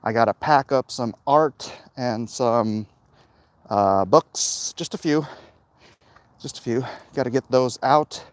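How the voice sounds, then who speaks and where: A middle-aged man talking casually and haltingly to his viewers in a monologue recorded while out walking. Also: mid-range voice, dry recording. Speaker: comic book creator vlogging. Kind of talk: casual walk-and-talk monologue. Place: outdoors, walking along a hilly route.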